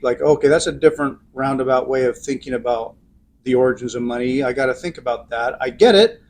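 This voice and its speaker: A man's voice: a little high, a little mellow, slight background noise. A man co-hosting a podcast, chatting casually, laughing and chiming in with his own examples. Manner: chatty, laughs often, chimes in. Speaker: podcast co-host.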